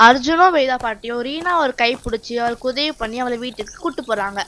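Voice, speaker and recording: A woman's voice: a little high, animated, fast, a bit bright, slight background noise. A woman narrating a children's animal story in a scripted storytelling style.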